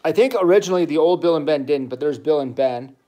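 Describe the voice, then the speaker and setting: A man's voice: a little high, fast, warm tone. A middle-aged man, a dad, chatting casually about toy trains with his child on a livestream. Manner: enthusiastic, chatty, rambling toy talk. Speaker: toy channel host, dad.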